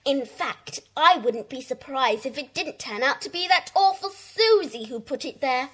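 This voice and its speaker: A woman's voice: high-pitched, animated, moderate pace, a bit bright. A woman playing a blunt, opinionated schoolchild in a children's audio drama, matter-of-fact in manner. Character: blunt, critical schoolchild in a club.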